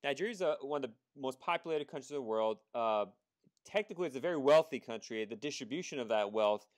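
A man's voice: mid-range voice, animated, fast. A man hosting an interview podcast as an immigration attorney, talking casually with many fillers and restarts. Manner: conversational, with frequent fillers and restarts.

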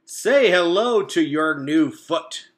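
A man voicing a jolly, confident male beaver handyman in a storybook reading, booming and slow. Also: lively intonation. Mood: hearty.

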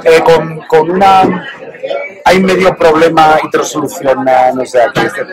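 A man's voice: on the high side, little pitch movement, poor audio. 40s guy gushing into his phone in a packed bar, lots of chatter behind. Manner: emphatic, halting with restarts. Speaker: vlog host. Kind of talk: casual chat. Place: busy indoor bar. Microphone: phone held at arm's length. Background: crowd chatter.

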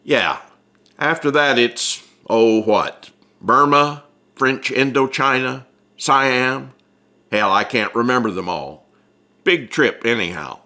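A man puts on a brash middle-aged big shot for an audiobook. He is breezy and needling, with a swaggering delivery. The voice is bright and forceful and moves up and down freely. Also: mid-range voice, animated, slow, exaggerated voice. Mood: offhand. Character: brash, teasing middle-aged male radio celebrity. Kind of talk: audiobook character dialogue.

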